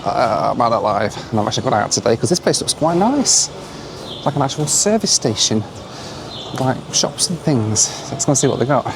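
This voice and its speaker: A man filming himself on a long-distance bus, hoarse and run down, talking in a tired, low-key, chatty way. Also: very animated, normal speed, noisy background. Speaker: travel vlogger. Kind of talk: travel vlog with voice-over narration. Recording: loud music under the voice.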